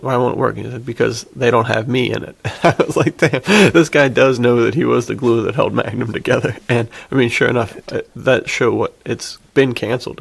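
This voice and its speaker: A man's voice: medium pitch, gravelly, husky. A man hosting a podcast, chatting casually in informal, slangy language like he is among friends. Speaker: podcast host. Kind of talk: casual banter between friends.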